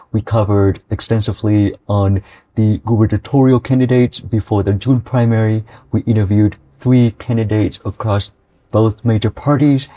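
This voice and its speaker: A man's voice: fairly low pitch, warm tone. A young man from Vietnam who edits a student newspaper, answering radio interview questions earnestly and often adding "right?". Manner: earnest, with frequent "right?" tags. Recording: dull, lo-fi audio.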